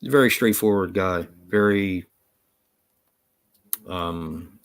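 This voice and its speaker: A man's voice: low-pitched, extremely slow, clear, resonant tone. A man co-hosting a live show from home, talking in a rambling, easygoing way with many fillers and easy laughs. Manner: laughs easily. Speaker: podcast co-host. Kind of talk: casual live-stream chat.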